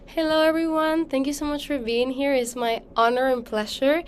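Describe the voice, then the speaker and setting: A woman's voice: sits high, fairly even intonation, moderate pace. A young woman, a college senior, politely introducing herself on a video call. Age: young adult. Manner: polite, grateful. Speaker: college student. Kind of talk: self-introduction.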